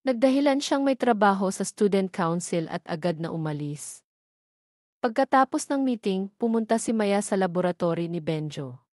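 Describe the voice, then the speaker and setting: Woman, Filipino accent, scripted story narration, mid-pitched voice, dry close-up sound. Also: lively intonation, normal speed, a little mellow, no echo.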